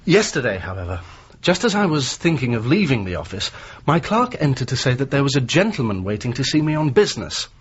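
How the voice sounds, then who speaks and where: A young English engineer in a Victorian radio play, earnest and shaken by his ordeal. His voice is husky and mid-pitched, his phrasing formal and courteous. The recording is somewhat dull. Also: very animated, brisk, hardly any pauses. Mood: formal, guarded. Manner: formal, polite, articulate. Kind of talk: radio drama acting with formal storytelling.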